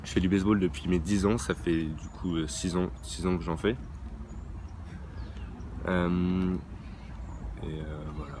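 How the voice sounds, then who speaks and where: Deep-voiced teen boy, hesitant. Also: fairly even intonation, a little slow, pauses often. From France. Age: teens. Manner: hesitant, many fillers and restarts. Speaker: young baseball player interviewed. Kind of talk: interview answers. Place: outdoor baseball training ground near a motorway. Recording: outdoor traffic noise. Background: traffic and ambient noise.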